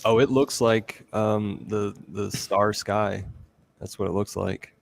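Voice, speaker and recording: A man's voice: lowish voice, fairly even intonation, takes it slowly, creaky. A man hosting a livestream in casual chat, informal and encouraging with his guests. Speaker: livestream host.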